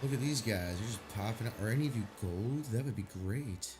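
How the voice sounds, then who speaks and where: Rambling stuffy-nosed man, low voice. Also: expressive, a little fast, music in the background. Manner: rambling, laid-back, swears casually. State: stuffy from a sinus headache. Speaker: game streamer. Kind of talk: casual chat while streaming a game.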